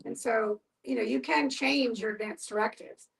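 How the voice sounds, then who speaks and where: Middle-aged female discussion participant, casual delivery, raised pitch with marked fry, distant pickup. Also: steady tone, moderate pace. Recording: distant microphone.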